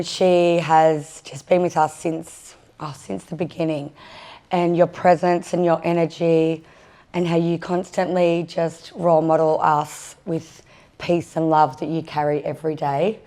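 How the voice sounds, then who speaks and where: A woman with a husky voice, nervous as she gives a welcome and acknowledgements at a conference, with many fillers. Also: on the low side, darkish tone. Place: conference session room.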